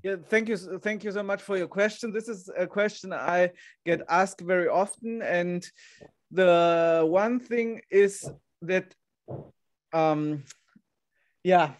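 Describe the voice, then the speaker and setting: A man's voice: high-pitched, measured pace. A man in his thirties with a German accent, answering audience questions in an online talk from his home study on a desk microphone, candid and chatty with many restarts. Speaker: guest speaker. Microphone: desk microphone on a video call.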